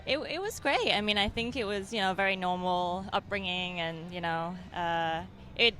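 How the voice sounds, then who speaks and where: A woman's voice: fairly low pitch, moderate pace, bright, forceful tone, faint music behind. A young adult woman from England with an English accent, answering interview questions as a film director, hesitant with many fillers and restarts.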